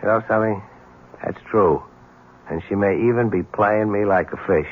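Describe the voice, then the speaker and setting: A man's voice: on the low side, moderate pace, background noise, close to an everyday voice. An American actor playing a wry, punchy, smitten but skeptical male newspaper reporter in a 1970s radio drama. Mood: urgent, persuasive. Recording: muffled old radio broadcast recording.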